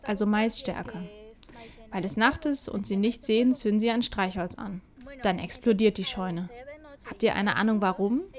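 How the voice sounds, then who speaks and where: Female voice-over, mid pitch, calm first-person telling. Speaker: voice-over translator.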